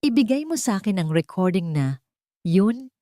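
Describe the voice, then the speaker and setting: A woman voicing a calm, firm male millionaire bent on revenge, speaking with deliberate weight in an audio story. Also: medium pitch, no echo, clean studio sound, close to an everyday voice. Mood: controlled bargaining. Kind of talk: dramatic audio story dialogue.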